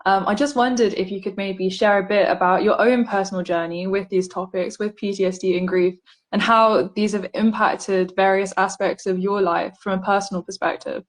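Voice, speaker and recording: A woman's voice: medium pitch, steady tone, moderate pace. A woman hosting an interview, introducing her guest and asking questions in a friendly way with frequent ums. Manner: friendly, uses filler um often.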